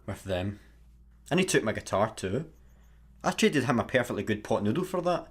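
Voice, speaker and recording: Man playing a husky, hapless Scottish space worker. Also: lowish voice, very animated, moderate pace. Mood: resigned, consoling. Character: hapless, good-natured male satellite worker. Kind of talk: sci-fi comedy radio play.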